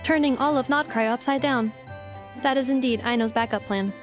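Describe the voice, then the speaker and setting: Woman voicing female robot assistant, high pitch, deadpan, formal, measured, polite, game dialogue. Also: faint music behind, close to an everyday voice. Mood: flat, matter-of-fact. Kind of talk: video game dialogue acting.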